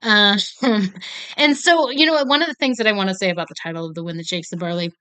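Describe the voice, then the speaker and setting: A woman's voice: medium pitch, quick pace, bright, forceful tone, smooth, no echo. American girl rambling about movies, lots of ums, dry close sound. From United States. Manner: chatty, many fillers, earnest and playful. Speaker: film reviewer. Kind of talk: casual film commentary.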